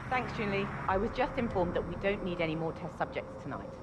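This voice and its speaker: An actress playing a brisk, polite and officious clinic recruiter in a video game, speaking formally. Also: female voice, fairly low pitch, expressive, heavy background noise, close to an everyday voice. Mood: pleased, brisk. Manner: formal, courteous, businesslike.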